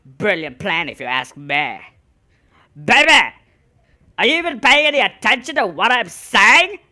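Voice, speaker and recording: Cartoon little sister voiced by an actor: blunt and skeptical, very sharp tone, animated pitch. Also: unhurried, exaggerated voice. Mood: bossy. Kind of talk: cartoon voice acting.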